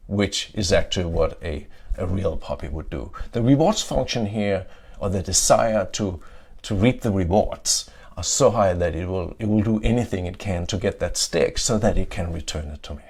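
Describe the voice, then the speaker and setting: A middle-aged man with a Danish accent giving a conference talk. He often checks in with a questioning "yeah?" and pauses on "uh" fillers. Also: low-pitched, darkish tone, husky. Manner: adds "yeah?" to check in with listeners; frequent "uh" fillers and restarts. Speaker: conference speaker. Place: conference stage.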